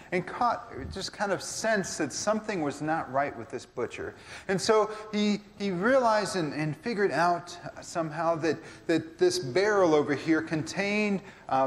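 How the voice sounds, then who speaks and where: A man telling a saint's story casually, rambling with fillers, in a church skit. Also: a little high. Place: church sanctuary. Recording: echoey church hall.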